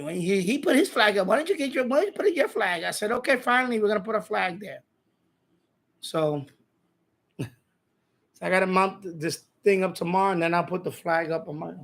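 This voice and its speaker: Gravelly, higher-pitched man chatting casually. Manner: halting, repetitive, informal speech. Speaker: livestream host. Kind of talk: casual rambling livestream chat.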